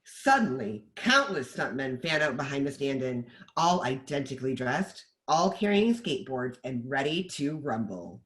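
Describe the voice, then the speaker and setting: A woman's voice: very deep voice, big pitch swings, normal speed, few pauses, low-quality recording. A woman narrator reading the stage directions of a screenplay in scripted narration.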